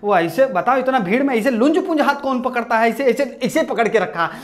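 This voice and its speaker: Animated young man telling a rustic comic story. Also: very high voice, brisk. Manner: rustic storyteller, shouts at the screen, acts out every character. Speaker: stand-up comedian. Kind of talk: comic movie retelling. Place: small indoor room with posters. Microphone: lapel mic, camera at mid distance.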